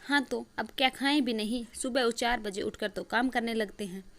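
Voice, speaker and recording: A woman's voice: on the high side, normal speed, lightly acted. A woman voicing a whiny, food-loving young daughter-in-law in a story cartoon, complaining indignantly and defiantly.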